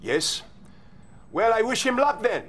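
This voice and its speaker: An actor playing a veteran Soviet general who talks in a sarcastic, condescending way. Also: male voice, extremely high pitch, very animated, unhurried, a bit bright, exaggerated voice. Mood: sardonic. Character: sardonic veteran male Soviet general, scornful.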